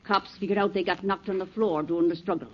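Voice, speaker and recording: An actress playing a brusque, folksy, wisecracking cleaner in a 1940s radio drama. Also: female voice, fairly low pitch, steady tone, fast talker, lightly acted. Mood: wry, brusque. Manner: brusque, folksy, sing-song. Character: wisecracking, unhurried cleaner who sings while scrubbing. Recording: muffled, hissy 1940s radio recording.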